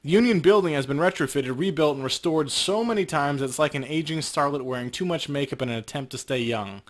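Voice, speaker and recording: A man's voice: on the high side, fast talker. A man co-hosting a let's play, narrating game text aloud and adding casual commentary.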